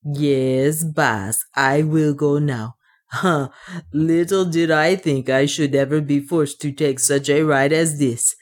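A woman's voice: low-pitched, fairly even intonation, slow. A woman voicing a loyal, brave dwarf manservant in an audiobook adventure, speaking in an earnest, deferential and practical way. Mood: nervously brave. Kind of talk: dramatised audiobook dialogue.

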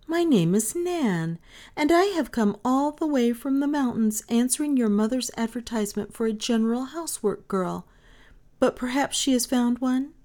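A cheerful storybook girl on the edge of adulthood, played by a woman reader. She is friendly and kind. Her intonation is lively and reassures as she speaks. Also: a little high, normal speed, pitched above the performer's own voice, close to an everyday voice. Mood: friendly, polite. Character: kind, cheerful, nearly grown girl from the mountains.

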